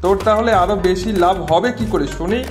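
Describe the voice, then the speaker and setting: A man's voice: sits high, fast talker, faint music behind, natural voice. A man voicing a sly, meddling elder-brother figure who gives crooked advice in a prodding, persuasive way in a cartoon. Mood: scheming. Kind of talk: moral-story cartoon voice acting.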